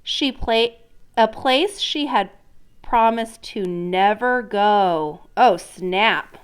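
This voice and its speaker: A woman teacher reading a storybook aloud in an expressive, instructional way, prompting listeners with questions. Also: medium pitch, very slow, clean tone. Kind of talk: interactive storybook read-aloud lesson.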